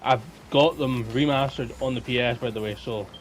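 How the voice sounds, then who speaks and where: A man's voice: mid-range voice, moderate pace, a little hiss or hum, low-quality recording. A man chatting casually and teasingly as a participant in a podcast. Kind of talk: casual chat.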